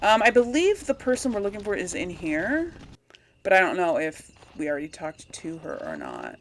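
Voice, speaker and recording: A woman's voice: fairly low pitch, wildly swooping pitch, moderate pace, slight background noise. A woman giving casual, rambling video game commentary to her audience, chatting to the characters as she plays. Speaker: host of a video game let's play.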